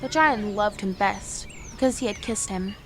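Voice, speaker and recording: An actress playing a young woman who reads a fairy tale aloud in a gentle storybook manner. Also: mid-range voice, moderate pace, natural voice. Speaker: storyteller within the drama. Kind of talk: audio drama. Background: music.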